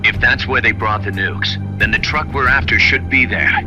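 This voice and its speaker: Military buddy on radio, muffled lo-fi, mid pitch, businesslike game dialogue. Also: male voice, moderate pace. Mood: urgent, focused. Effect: radio filter. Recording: muffled narrow-band radio transmission. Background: music.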